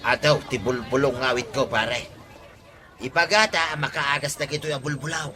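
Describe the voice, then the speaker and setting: A man's voice: medium pitch, normal speed, pushed and bright, close to an everyday voice. A man playing a cocky, crafty, cajoling pal in an Ilocano comedy drama, with an Ilocano accent. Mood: persuading.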